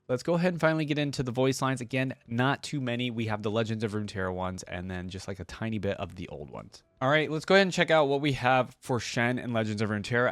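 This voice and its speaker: A man's voice: lowish voice, dry recording. A man giving casual commentary as he reacts to game voice lines, hosting his own video.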